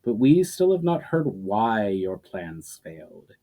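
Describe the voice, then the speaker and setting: Man voicing a slow, silky villain from a portal. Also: sing-song, mellow, heavily acted. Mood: falsely reassuring. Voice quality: deep, silky. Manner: slow, falsely reassuring, unhurried. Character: deep silky disembodied voice from a portal, coldly calculating villain.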